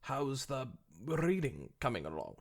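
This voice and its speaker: A man playing an impatient pegasus pony, gravelly edge. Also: medium pitch, big pitch swings, measured pace, pitched above the performer's own voice. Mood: skeptical. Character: impatient, skeptical, brash young female pegasus pony.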